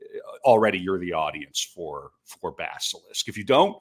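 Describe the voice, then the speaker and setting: A man's voice: sits low, lively intonation, normal speed. A man speaking as a podcast guest, telling stories casually with offhand asides.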